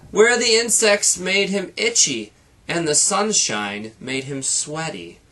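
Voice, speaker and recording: A man's voice: fairly high pitch, bright, forceful tone. A man reading a children's picture book aloud like a teacher, with rhythmic, dramatic delivery and playful asides.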